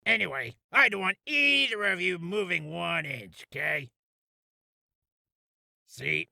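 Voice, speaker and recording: A man voicing a gruff but loyal, earnest police detective with a blunt, informal manner, for a character reel. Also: fairly high pitch, bright, forceful tone, no echo, exaggerated voice. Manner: blunt, informal, tags phrases with 'see' and 'pal'.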